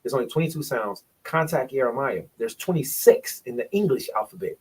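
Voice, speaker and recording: A man's voice: medium pitch, expressive, a little fast, a little mellow, breathy edge, poor audio. A man presenting in a bible study, explaining points from a shared screen and sounding out syllables, often repeating himself.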